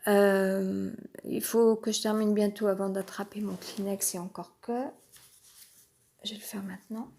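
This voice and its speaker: A woman's voice: medium pitch, level tone, a little slow, creaky, mellow, a little hiss or hum. A woman with a head cold talking off the cuff in a rambling, hesitant monologue, full of fillers and restarts. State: has a cold, stuffy. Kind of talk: improvised personal monologue. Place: uncomfortable spot with noise overhead.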